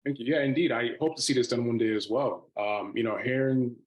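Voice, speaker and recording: A man's voice: medium pitch, brisk, low-quality recording. An American man from Massachusetts, an actor, offering casual comments in a question-and-answer session. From Framingham, Massachusetts, United States.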